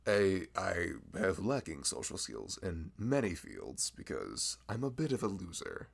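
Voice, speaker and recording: A man's voice: low voice, sing-song, unhurried, creaky, exaggerated voice. A man voicing a friendly, nerdy young florist in an ASMR roleplay, rambling, laughing often and teasing himself. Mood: chatty.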